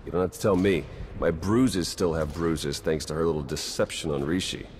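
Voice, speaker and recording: Low-voiced, battle-worn secret agent. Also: male voice, moderate pace, a little mellow, a little hiss or hum. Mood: rueful, wry. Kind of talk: video game voice acting. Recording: long hall reverb, music behind.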